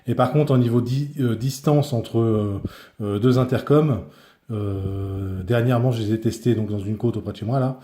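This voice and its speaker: Low, mellow-voiced man, casual tutorial. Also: normal speed. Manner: informal, colloquial, hesitant searching for words. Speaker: product reviewer and motorcyclist. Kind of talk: casual hands-on tutorial and review.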